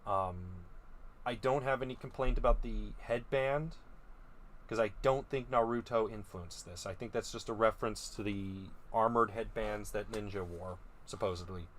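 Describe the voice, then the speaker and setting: A man's voice: lowish voice, normal speed, a bit bright, a little hiss or hum. A young man giving casual opinion commentary as a video host. He muses hesitantly, with frequent ums and restarts. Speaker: video host reviewing creature designs.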